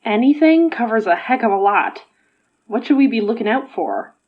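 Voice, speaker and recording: A woman's voice: on the high side, animated, moderate pace, phone-quality sound. A woman playing a supportive, straight-talking friend in a horror audio drama, informal and frank. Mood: concerned, supportive.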